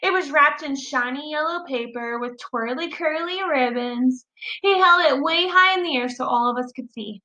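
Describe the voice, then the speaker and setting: A woman reads a children's story in a high, bright voice. She narrates with childlike, expressive enthusiasm, and the room adds a noticeable echo. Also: moderate pace, lo-fi sound. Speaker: teacher reading aloud. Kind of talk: animated children's story read-aloud. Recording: roomy echo.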